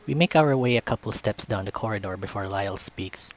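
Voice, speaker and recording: A man's voice: lowish voice, fairly quick. A man reading visual novel narration aloud in a storytelling style. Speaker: visual novel narrator, let's-play reader.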